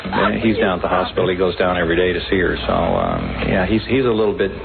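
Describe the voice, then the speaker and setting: A man speaking in a recorded news sound bite, halting and hesitant with fillers. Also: low voice, fairly even intonation, creaky, very noisy. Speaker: interviewee in news clip. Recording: tinny clip with loud crowd behind.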